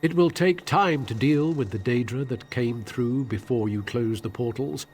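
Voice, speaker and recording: A man's voice: medium pitch, moderate pace, a little mellow, faint music behind, close to an everyday voice. Actor as an earnest, worried game priest man. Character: weary, worried male priest defending a shrine. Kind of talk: video game voice acting.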